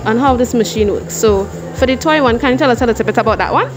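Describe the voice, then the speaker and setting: A young woman hosting her own vlog, chatty and energetic, speaking into a phone she holds to her mouth in a mall arcade. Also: fairly high pitch. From Trinidad and Tobago. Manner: chatty, energetic, quick outros. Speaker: vlogger host. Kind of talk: upbeat vlog presenting. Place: indoor shopping mall arcade. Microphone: phone held to mouth. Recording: background music throughout.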